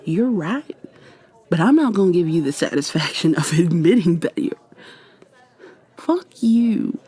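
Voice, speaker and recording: Woman acting as modern witch, American accent, husky soft voice, slow delivery, affectionate teasing, intimate ASMR roleplay. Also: on the low side, expressive, a faint crowd. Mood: teasing, smitten. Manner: teasing, affectionate, conversational with trailing pauses. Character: playful, flirty modern witch mentoring a new coven member.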